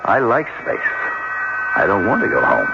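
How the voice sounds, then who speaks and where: Loner veteran astronaut, radio drama; lowish, hugely varied pitch, noisy vintage sound. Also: male voice, wildly swooping pitch, normal speed, creaky, lo-fi sound, exaggerated voice. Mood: reluctant, wry. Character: solitary veteran astronaut, reluctant to go home. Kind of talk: old-time radio drama acting.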